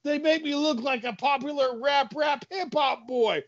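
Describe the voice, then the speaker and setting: A man voicing a dim, excitable tough-guy sidekick in a comedy story, with goofy exclamations and silly slang. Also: extremely high pitch, normal speed, no echo, lo-fi sound, pitched above the performer's own voice. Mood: panicked.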